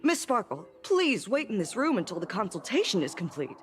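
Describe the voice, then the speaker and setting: A woman's voice: medium pitch, sing-song, brisk, music in the background, close to an everyday voice. A woman voicing a smug quack doctor in a video game, theatrical and taunting as she makes pronouncements. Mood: mock clinical.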